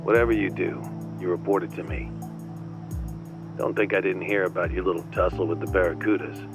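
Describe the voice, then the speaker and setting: Deep-voiced, coolly menacing handler. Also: male voice, fairly even intonation, vocal fry, over music. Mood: cool, threatening. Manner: stern, condescending, deliberate. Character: controlling, coolly menacing male intelligence handler. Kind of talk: dramatic game cutscene acting.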